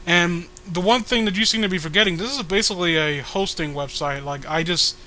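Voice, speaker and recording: Man playing mild, bright-voiced young troll website owner. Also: high voice, a little fast, slight background noise. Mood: calm, reasonable.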